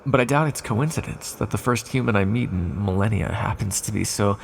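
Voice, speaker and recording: A man plays an ancient villain speaking straight to the listener in an ASMR roleplay. The voice is low and very gravelly, with a husky edge. He is smug and condescending, yet lonely and softly flirtatious. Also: brisk. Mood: tender, flirtatious.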